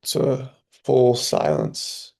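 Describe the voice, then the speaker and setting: A man leading a guided meditation, speaking calmly in short phrases with long pauses between them. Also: mid-range voice, vocal fry, husky. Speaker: meditation teacher.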